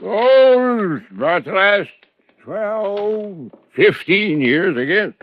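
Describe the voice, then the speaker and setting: An actor playing a chatty, obliging old lodge foreman in an American radio drama, with a rustic, halting way of talking and repeating himself. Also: high voice, animated, heavily acted. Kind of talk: 1950s radio drama acting. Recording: dull old radio recording.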